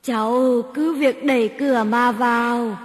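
A frail old grandmother lying sick in bed, voiced by a woman narrator, answering in slow, tired words. The voice sits a little high and echoes in a roomy space over light music. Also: fairly even intonation, natural voice. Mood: weary. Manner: weary, slow, tired speech. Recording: reverberant with background music.

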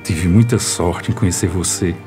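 A man playing a gentle, wistful romantic in a radio soap opera. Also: low-pitched, moderate pace, soft background music, lightly acted. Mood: tender. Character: gentle, wistful, lovelorn man being courted.

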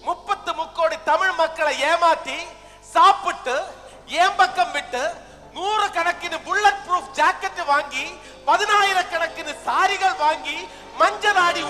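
An actor playing the scolding ghost of a grand film-star leader in a stage comedy, theatrical and declamatory. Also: male voice, extremely high pitch. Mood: accusing. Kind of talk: stage comedy skit acting. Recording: echoey stage hall with music.

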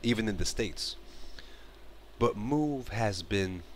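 Man, 30s, from Morocco; earnest home-vlog delivery on a headset, fairly low pitch, halting restarts. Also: lively intonation, a little hiss or hum. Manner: earnest, repeats and restarts phrases, gestures while talking. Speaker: vlogger. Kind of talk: casual spoken commentary to camera. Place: bedroom, small indoor room. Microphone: headset mic.

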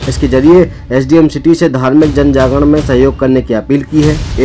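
A man's voice: on the high side, darkish tone. A man reading a scripted news voice-over as narrator. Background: background music.

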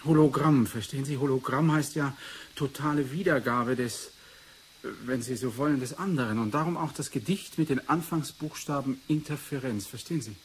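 A man's voice: medium pitch, normal speed, slight background noise, close to an everyday voice. An actor playing an eager, insistent male investigator who muses and explains in a radio play. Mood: musing, insistent. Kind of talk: 1980s German radio play acting.

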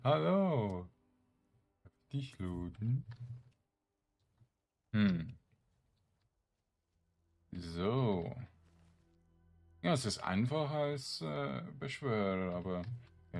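A man giving casual gameplay commentary, thinking aloud in short, halting phrases. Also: fairly low pitch, gravelly, darkish tone. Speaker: let's play streamer.

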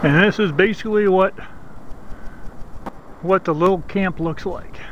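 A middle-aged man vlogging outdoors in snowy woods, talking to a handheld camera in a halting way, often repeating his words. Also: sits high, a bit bright, highs cut off, slight background noise. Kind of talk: casual bushcraft walkthrough. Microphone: handheld camera at arm's length.

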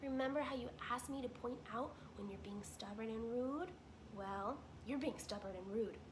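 A woman voicing a practical female beaver who talks briskly and scolds her husband. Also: on the high side, noisy background, lightly acted.

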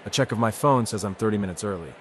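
A man's voice: fairly low pitch, rapid-fire, slight background noise. A man reading first-person scripted narration in a brooding, sardonic manner. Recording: music under the voice. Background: music.

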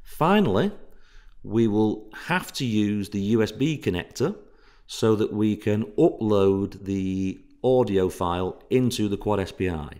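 A man's voice: on the low side, expressive, unhurried, dark tone. A man giving a technical workshop presentation as an instructor, explaining step by step in an informal way.

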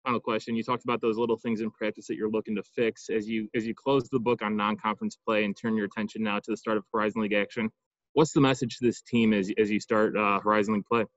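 Chicago-area American man reporter, fast-talking, low voice, dull lo-fi call audio, dry. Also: little pitch movement, no room sound. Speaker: press reporter. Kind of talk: press conference questions.

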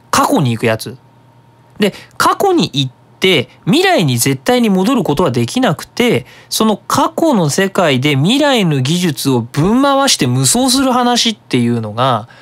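A man's voice: sits high, fairly bright tone, no room sound. A man hosting a web radio show, talking casually in a chatty, colloquial, explanatory manner.

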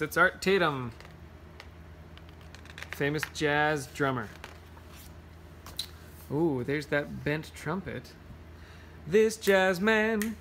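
Unhurried, playful read-aloud storyteller. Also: mid-range voice, a bit bright, slight background noise. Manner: playful, sings out scat sound effects. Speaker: picture book reader. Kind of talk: read-aloud to children, singsong rhymes and casual explanations.

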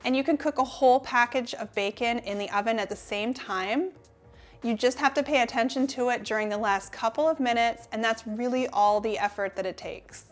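A woman's voice: a little high, normal speed, faint music behind. Upbeat woman sharing cooking tips. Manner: upbeat, friendly explainer. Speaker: cooking channel host. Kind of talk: scripted tips to camera. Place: home kitchen, indoors.

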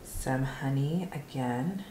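A young woman with a notably low voice talks casually through a recipe as she works. She is informal and unscripted, like a home vlogger. The room is clearly echoey, with noticeable kitchen noise of pots and utensils behind her. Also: slow pace. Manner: informal, narrating each step while working. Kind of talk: casual cooking demonstration.

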